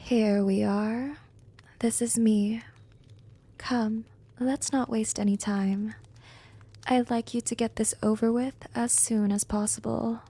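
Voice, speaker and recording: Casting: actress as gentle, teasing female vampire for ASMR roleplay; soothing, breathy, slightly high voice with some creak. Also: fairly even intonation, full character voice. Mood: calm, inviting. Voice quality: soft, breathy, often whispering. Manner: slow, soothing, coaxing.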